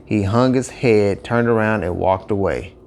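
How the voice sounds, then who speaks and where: A man narrating a scripted children's story with expressive, emphatic phrasing. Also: on the low side, a little slow, slight background noise.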